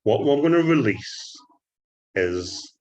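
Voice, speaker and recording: A Scottish man giving a trading tutorial, talking up his strategy in an excited salesman patter and often calling out "ladies and gentlemen.". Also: medium pitch, takes it slowly. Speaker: forex trading instructor. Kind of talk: screen-share trading tutorial.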